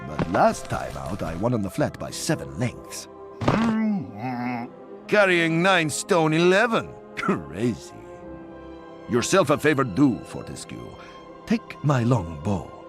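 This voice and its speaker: Flamboyant, pompous centaur prince. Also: male voice, medium pitch, wildly swooping pitch, slow pace, pauses often, exaggerated voice. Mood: pompous, boastful. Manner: pompous, mocking, inverted word order. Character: haughty, flamboyant aristocratic male centaur prince. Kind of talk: video game character acting. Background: music.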